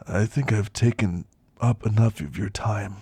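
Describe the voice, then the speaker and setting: A man playing a weary, wistful elderly werewolf who confides in slow, reflective ASMR roleplay storytelling, gravelly and husky. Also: on the low side, warm tone, no room sound. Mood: weary, grateful. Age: older.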